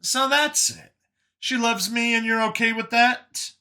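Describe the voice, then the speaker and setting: A man's voice: very high-pitched, steady tone, unhurried, bright, forceful tone, clear, resonant tone, voice pushed up, heavily acted. A man voicing a skeptical, sardonic backwoods war veteran doctor in an audiobook story, questioning everything with incredulity. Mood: probing.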